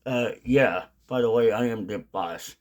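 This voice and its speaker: A man's voice: medium pitch, a little slow, darkish tone, muffled recording. A man talking casually and unscripted as a video creator, rambling and often repeating or rephrasing his points. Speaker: video creator on a dipping tobacco channel. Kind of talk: casual unscripted vlog monologue.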